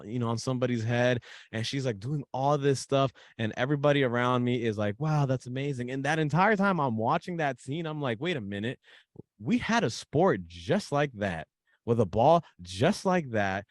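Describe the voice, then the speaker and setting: A man from the Dominican Republic talks in a mid-range voice, animated and eager, tripping over his words as the story builds. The sound is dry and close, with no room around it. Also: mid-range voice, no room sound. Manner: animated storyteller, repeats and restarts when excited. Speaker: guest author. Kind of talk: casual podcast interview.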